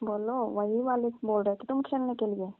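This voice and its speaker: A woman's voice: on the high side, steady tone, normal speed, noisy background, close to an everyday voice. A young woman playing a coy cousin who teases and questions in a casual phone-call drama, over narrow, tinny phone audio. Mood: teasing.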